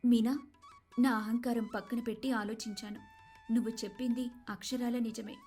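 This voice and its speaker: A woman plays a rich, proud young wife with a very high, dramatic voice. She is sorrowful and repentant, in moral-story style over faint music. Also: steady tone, normal speed, mellow, close to an everyday voice. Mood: regretful, apologetic.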